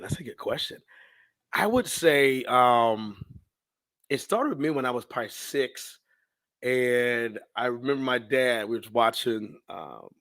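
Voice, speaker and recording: A man's voice: mid-range voice, no room sound. An American man, around his thirties, telling stories casually in an interview, slangy and acting out bits of dialogue as he goes. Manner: animated, slangy, acts out his own past thoughts and dialogue. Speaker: podcast guest, sports public address announcer.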